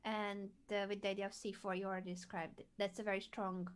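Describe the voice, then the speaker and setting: Female chess coach, patient, leads with questions, mid-pitch voice. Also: flat delivery, fast, clear, resonant tone. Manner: patient, asks guiding questions.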